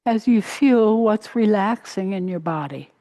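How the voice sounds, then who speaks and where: A woman teaching a guided meditation in a gentle, unhurried way, pausing between short phrases. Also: medium pitch, lively intonation, dry recording. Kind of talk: guided meditation, body scan.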